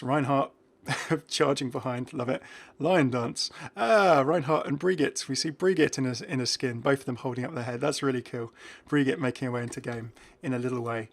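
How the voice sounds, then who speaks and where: A man reviews a video game in a casual running commentary. He sounds enthusiastic and speaks off the cuff, with a mid-pitched voice. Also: creaky.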